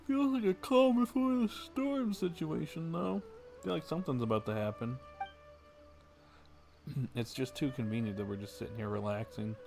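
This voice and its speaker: A man's voice: on the high side, moderate pace, creaky, a little mellow. A man giving casual livestream game commentary, musing in a laid-back, rambling way. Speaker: livestream gamer. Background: game music.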